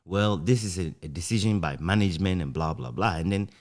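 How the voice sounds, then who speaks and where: A man with a Ghanaian accent, reminiscing casually as an interview guest in an animated, anecdotal way. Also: low-pitched, fairly quick. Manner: animated, chuckles often while recounting anecdotes. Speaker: interview guest, former radio broadcaster.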